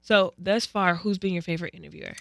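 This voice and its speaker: A woman's voice: fairly low pitch, fast, creaky, no echo, clean studio sound. A woman hosting a podcast, asking her guest questions in an upbeat, playful way. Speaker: podcast host. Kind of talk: casual interview chat.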